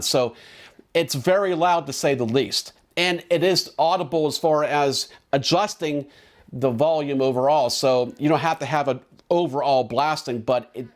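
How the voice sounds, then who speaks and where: A man presenting drone equipment in a casual, conversational, explanatory product demo. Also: mid-range voice.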